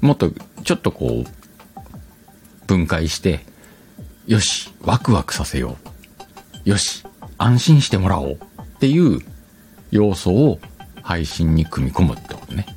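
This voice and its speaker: A man's voice: sits low, very animated, slow, pauses often, darkish tone, soft background music. A man hosting a casual advice talk, explaining conversationally and questioning his own phrasing as he thinks aloud. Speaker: podcast host.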